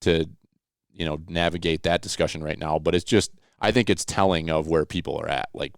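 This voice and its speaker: A man with a very deep, resonant voice hosts a casual talk show. He rambles good-naturedly and jokes along, recorded close and dry. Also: steady tone, fairly quick, creaky, clean tone, dry recording. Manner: rambling, joking, jovial. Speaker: podcast host taking live calls. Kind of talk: casual outdoors podcast chat.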